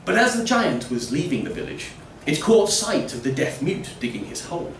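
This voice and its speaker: A man reading a literary story aloud in a theatrical, deliberate way with strong emphasis. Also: a little high, sing-song. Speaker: story reader. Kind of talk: dramatic literary story narration. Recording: roomy, distant miking with faint noise.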